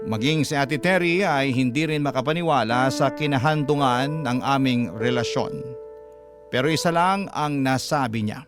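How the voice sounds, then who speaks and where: A man's voice: medium pitch, moderate pace. A man narrating a personal love story in a plaintive radio-drama manner, confiding to the host. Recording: narration over clear music. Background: clear background music.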